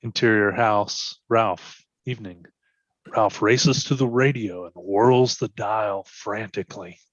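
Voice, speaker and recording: A man's voice: on the low side, takes it slowly, dry recording, highs cut off. A man reading scripted stage directions as narration in a readers theatre performance.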